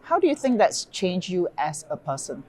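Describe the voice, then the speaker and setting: Woman, interviewer, host, low-pitched, effusive, eager, chatty, conference studio. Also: big pitch swings, normal speed, darkish tone. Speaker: interviewer and event founder. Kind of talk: interview hosting.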